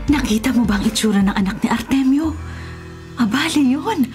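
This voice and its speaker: A woman's voice: fairly high pitch, lively intonation, a little fast, darkish tone, close to an everyday voice. An actress playing a wary, middle-aged mother who is suspicious and opinionated, in a radio drama. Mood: worried, disapproving. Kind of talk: Filipino radio drama acting. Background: background music.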